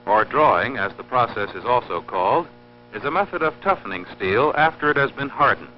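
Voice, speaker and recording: A man narrating an instructional film in a formal, scripted, measured and precise teaching manner. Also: mid-range voice, expressive, faint music behind. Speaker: narrator of an industrial training film. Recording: dull lo-fi old film soundtrack, humming.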